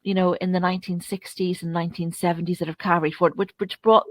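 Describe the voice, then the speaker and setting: A woman interviewer speaking off the cuff, putting long, roundabout questions in a halting way. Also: fairly low pitch, flat delivery. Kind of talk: unscripted interview discussion.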